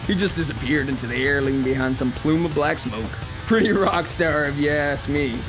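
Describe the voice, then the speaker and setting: An actor playing a cocky, theatrical vampire rock star, telling boastful and flippant stories in a podcast drama. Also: male voice, a little high, a little fast, lightly acted, poor audio. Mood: cocky, dramatic. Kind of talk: fiction podcast acting, mock interview. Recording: music playing behind the voice.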